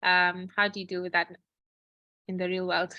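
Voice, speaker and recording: A woman's voice: lowish voice, little pitch movement, normal speed, no echo, highs cut off. A woman moderating a session, reading out audience questions in a conversational way.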